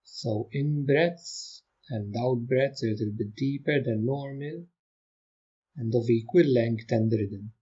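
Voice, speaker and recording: Slow, mellow man guiding meditation. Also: mid-range voice, husky, dull audio. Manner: gentle, unhurried, calm instruction with long pauses. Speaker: meditation teacher. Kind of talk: guided meditation.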